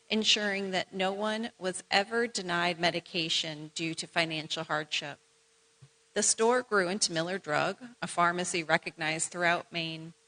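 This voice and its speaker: A woman presenting at an awards ceremony, formally reading out short biographies in a measured, ceremonial way. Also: mid-range voice, pushed and bright, poor audio. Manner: measured, ceremonial delivery with brief asides to honorees. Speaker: event presenter.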